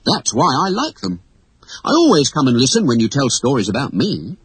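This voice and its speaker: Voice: medium pitch, wildly swooping pitch, fairly quick, husky, no echo, full character voice, low-quality recording. A storybook narrator voicing a cocky, mischievous boy adventurer with a brash, bossy, confident manner. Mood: proud. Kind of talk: acted storybook dialogue.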